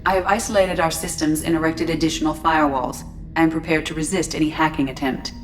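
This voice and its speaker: Woman doing a calm game ship ai lady, low and precise, sounds a bit away from the mic. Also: quick pace, far from the mic, faint music behind, close to an everyday voice. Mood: composed. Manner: precise, formal, even-tempered. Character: composed, precise female ship artificial intelligence. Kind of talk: scripted video game acting.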